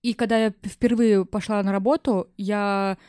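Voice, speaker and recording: A young woman talking informally as a guest in a relaxed casual chat. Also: mid-range voice, normal speed, clean tone, dry recording, clean studio sound. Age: young adult. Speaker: podcast guest.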